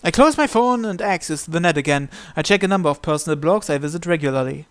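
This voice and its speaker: A man's voice: fairly high pitch, expressive, fast, smooth. A man reading visual novel story text aloud as narration, sometimes stumbling over a word and repeating it. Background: background music.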